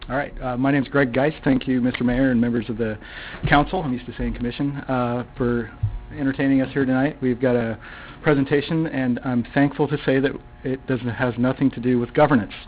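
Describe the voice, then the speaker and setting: A soft-spoken man gives a presentation at a public council meeting. He talks in a halting way, with many fillers and restarts. The sound is thin and boxy, like a phone line. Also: medium pitch, fairly even intonation, a little hiss or hum. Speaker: utility presenter at city council.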